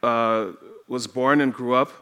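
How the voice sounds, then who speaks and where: Slow-speaking Trinidadian man preaching. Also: medium pitch, fairly even intonation, fairly bright tone, smooth. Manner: slow, storytelling, often laughing. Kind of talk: sermon with personal stories. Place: church sanctuary.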